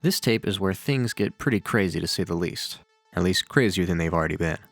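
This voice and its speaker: A man's voice: low voice, animated, brisk, no echo. A man narrating scripted commentary on horror with casual, dryly sardonic asides. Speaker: video narrator and reviewer. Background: faint music.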